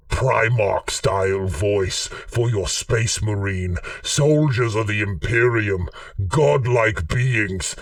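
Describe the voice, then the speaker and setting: A zealous armoured warrior from a space war game, grand and commanding. The voice runs through a chat filter, slow and slightly distant, with big swings of emphasis. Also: male voice, no room sound. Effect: low-voice chat filter. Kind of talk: video game war-cry roleplay.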